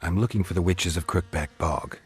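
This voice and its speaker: A man voicing a gruff, stoic monster-hunting swordsman in a video game, terse and deadpan with a gravelly, husky voice. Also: sits low, fairly quick. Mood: probing, restrained. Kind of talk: video game character acting.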